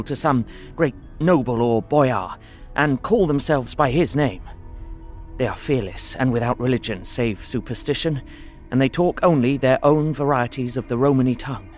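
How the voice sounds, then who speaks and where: A man's voice: medium pitch, lightly acted. A man as an earnest, anxious captive diarist. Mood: guarded hope. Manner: measured, earnest, literary. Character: young English solicitor, earnest, anxious, captive journal writer. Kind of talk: audio drama journal narration.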